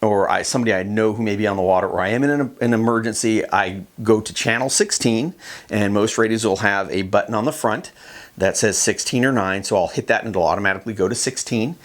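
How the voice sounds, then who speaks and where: A middle-aged man with an American accent gives informal, conversational instruction, unscripted and with hesitant pauses. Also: lowish voice. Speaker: fishing show host and instructor.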